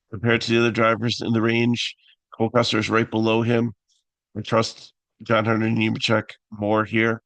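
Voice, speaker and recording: A man with a raspy voice hosting a casual fantasy picks talk, halting with many fillers and restarts. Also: fairly low pitch, a bit bright, no room sound. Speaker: fantasy sports analyst host.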